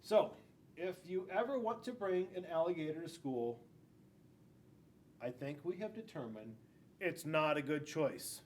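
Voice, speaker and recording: A man's voice: fairly high pitch, animated, a little slow, pauses often, slight background noise. A middle-aged man, a school police officer, reading a picture book aloud to young children, stressing key words and adding asides. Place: indoors in a school classroom.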